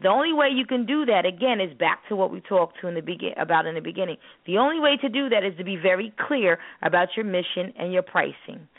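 A woman's voice: lowish voice, expressive, quick pace, hardly any pauses, dry recording. A woman speaking in an emphatic, instructive way in an opinionated talk show discussion, repeating phrases for stress. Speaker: co-host of a spoken word business show; spoken word artist. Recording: muffled narrowband phone-line sound.

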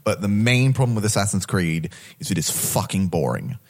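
A man's voice: fairly low pitch, animated, normal speed. A man co-hosting a podcast, talking casually about films and TV with some swearing. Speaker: podcast co-host.

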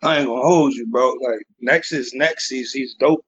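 A man with a raspy voice, a guest in a casual chat, blunt and profane with strong opinions. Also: medium pitch, normal speed, fairly bright tone, dull audio, a little hiss or hum.

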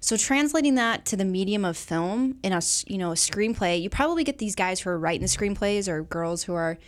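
A woman's voice: mid-range voice, expressive, brisk. Woman guest chatting casually about films. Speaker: guest co-host. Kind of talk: casual film discussion.

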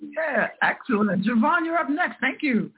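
A man's voice: extremely high pitch, animated, moderate pace. A man hosting a show in a casual, chatty style. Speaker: show host. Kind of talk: casual chat. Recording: dull lo-fi, faint noise.

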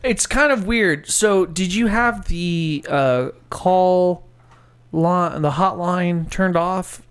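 A man's voice: sits high, slow, clean tone. A man co-hosting a casual comedy show, joking crudely and laughing often. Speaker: co-host. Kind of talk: casual comedic podcast banter.

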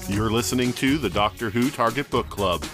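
A man's voice: mid-range voice, brisk, over music. A man co-hosting a podcast and reading a scripted promo. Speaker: podcast co-host giving a promo. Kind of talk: read promo.

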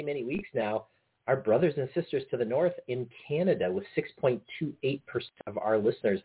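Chuckling man with raspy, phone-thin sound. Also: medium pitch. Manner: easygoing, chuckling, many fillers. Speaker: podcast co-host. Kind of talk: casual chat. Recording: tinny phone-like audio.

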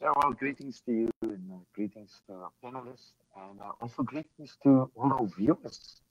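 Hoarse south african guy, pastor, lots of uhs, dry sound. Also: mid-range voice, normal speed, no room sound, highs cut off. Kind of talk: online panel introduction.

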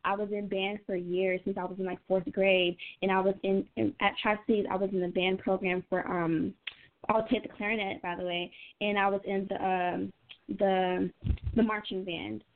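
A young woman with an American accent talks as an interviewed singer over a tinny, phone-like connection. Her voice sits at a medium pitch. She is informal and earnest, often repeating phrases as she explains herself. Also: level tone, moderate pace. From United States, Atlanta area. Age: young adult. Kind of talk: casual interview chat. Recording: tinny phone-line sound.

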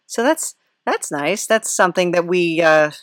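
A woman podcast host with an unhurried pace, recorded dry and close. She chats casually and wryly, rambling and hesitating as she puts questions in a comic interview. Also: fairly low pitch, expressive, no echo.

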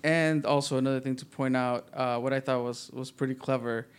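A man co-hosting a casual podcast, relaxed, recapping events from his notes and laughing easily. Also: mid-range voice, fairly quick, clear, resonant tone. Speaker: podcast co-host. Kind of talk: casual wrestling chat.

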